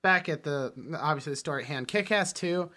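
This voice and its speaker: Bright-voiced man, animated podcaster. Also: on the high side, brisk. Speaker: podcast co-host. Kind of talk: casual film and comics discussion.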